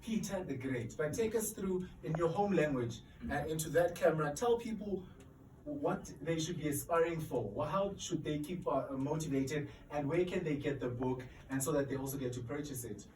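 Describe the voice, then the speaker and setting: Enthusiastic male host, fairly high voice. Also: normal speed, darkish tone. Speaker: interview host. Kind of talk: interview questions. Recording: echoey room, distant mic, faint noise.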